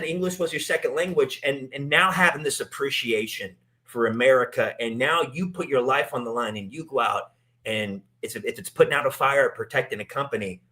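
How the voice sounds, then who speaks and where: A man's voice: on the high side, a bit bright. A man hosting a casual interview, talking in long rambling turns full of restarts and fillers. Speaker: podcast host. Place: in Italy.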